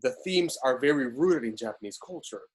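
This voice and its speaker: Young man with a mid-pitched voice. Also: animated, brisk. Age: 20s. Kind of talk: casual discussion on a video call. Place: small room, indoors. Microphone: computer on a video call.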